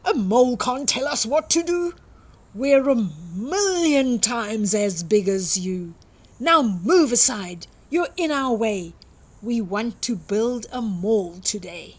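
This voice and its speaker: A huge, arrogant digger from a children's story, put on by a woman reader. It speaks emphatically with a husky edge, bossy and sure of its own size. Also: fairly high pitch, lively intonation, slow. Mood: scornful, commanding. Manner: domineering, emphatic.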